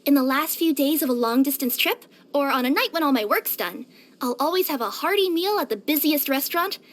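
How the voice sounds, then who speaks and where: A woman voicing a cheerful, curious young female cat yokai in a video game, with a light girlish voice and an expressive, chatty delivery. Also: sits high. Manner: expressive, eager, chatty, wistful at times. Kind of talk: scripted game character voice-over.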